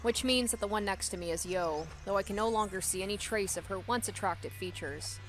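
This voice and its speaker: A woman with a middle-range voice hosts a let's play of a visual novel. She moves between reading the narration aloud and chatting casually about it, with soft music behind her. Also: medium pitch, a little fast, low-quality recording.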